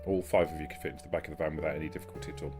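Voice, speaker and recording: A man's voice: rumbling low, steady tone, rapid-fire, a little mellow, soft background music. A man running a tabletop game as game master, explaining what happens and making rulings.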